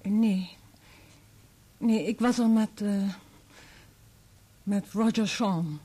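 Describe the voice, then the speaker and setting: A woman's voice: mid-range voice, very slow, dark tone, breathy edge. An actress in a Dutch radio play playing a polite, guarded married woman with a reserved, hesitant way of talking.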